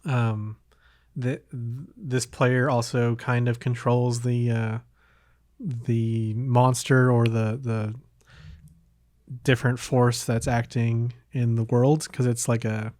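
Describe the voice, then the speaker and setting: A man's voice: mid-range voice, steady tone, takes it slowly, darkish tone, no echo, clean studio sound. A man hosting a podcast in casual conversation, hesitant and meandering, often trailing off between thoughts. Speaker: podcast host. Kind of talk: casual chat.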